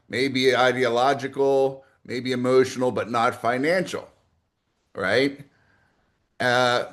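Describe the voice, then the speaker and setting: A man with a medium-pitched voice gives a political commentary. His delivery is blunt and opinionated. He moves between prepared, formal phrasing and offhand asides, often posing rhetorical questions to the listener. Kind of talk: opinionated political monologue, part scripted with asides.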